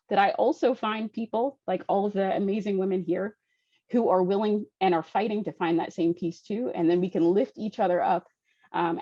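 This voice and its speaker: A woman's voice: mid-range voice, warm tone, no room sound. A woman panelist speaking earnestly and inspiringly about finding peace and lifting others up. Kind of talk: motivational panel talk. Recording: dull, dry lo-fi call audio.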